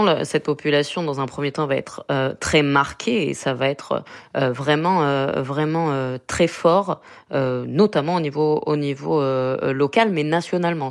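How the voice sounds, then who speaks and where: A woman's voice: sits low, creaky. A young woman from Limousin with a Limousin accent, speaking as a radio interview guest, hesitant and full of fillers and restarts. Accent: Limousin French. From Limousin, France. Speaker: guest, granddaughter of a massacre survivor.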